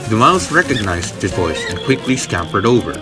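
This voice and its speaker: A man's voice: lowish voice, big pitch swings, normal speed. A man narrating a scripted storybook tale as the storyteller. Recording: loud music behind the voice.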